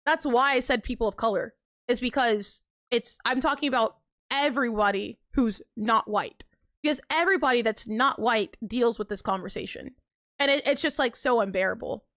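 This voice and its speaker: A young woman talks to her live-stream viewers in a high, bright voice, riffing casually and emphatically with plenty of fillers. She is recorded close and dry, with no room sound. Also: normal speed, no room sound. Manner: animated, filler-heavy, emphatic, frequent laughs and pitch jumps. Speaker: livestreamer.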